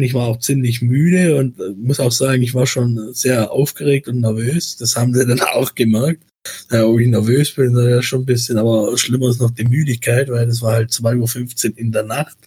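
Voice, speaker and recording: A man's voice: mid-range voice, fairly even intonation, a little fast, darkish tone, husky, dry recording. A man with a light Swabian accent hosting a podcast in a rambling, chatty way with many hesitations. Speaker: podcast host. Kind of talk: casual chat.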